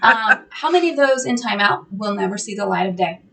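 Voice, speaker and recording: A chatty woman co-hosts a live sewing shop stream, greeting viewers by name in a bright, forceful voice. She sits a little back from the microphone. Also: medium pitch, expressive, brisk, gravelly, breathy edge, far from the mic. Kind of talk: casual live-stream chat.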